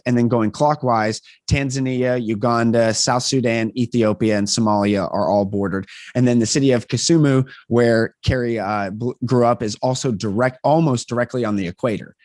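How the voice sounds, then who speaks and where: Relaxed chatty male podcast host. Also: mid-range voice, dry recording, studio quality. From southern United States. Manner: relaxed, chatty, laughs easily. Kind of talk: casual interview chat.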